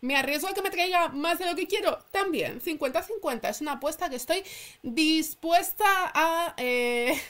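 A woman's voice: sits high, moderate pace, a bit bright. A woman with a Spanish accent from Spain, talking informally and emphatically to her followers as a channel host, often asking and answering her own questions. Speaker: tarot reader and channel host. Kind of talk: casual chatty announcement to followers. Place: indoors at a table.

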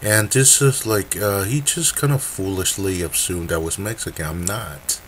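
A young man making a casual webcam announcement from a dim room, talking haltingly with many fillers and restarts. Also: sits low, slight background noise. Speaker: video creator.

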